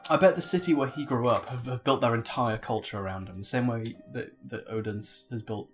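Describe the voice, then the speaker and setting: A man's voice: mid-range voice, fairly quick, over music. A man doing casual, tongue-in-cheek commentary over a video game. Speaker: let's player, co-commentator.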